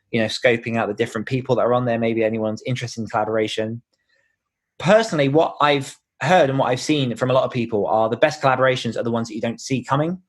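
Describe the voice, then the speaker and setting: A British man talking in an informal, explanatory way as the guest in a conversational podcast interview. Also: medium pitch.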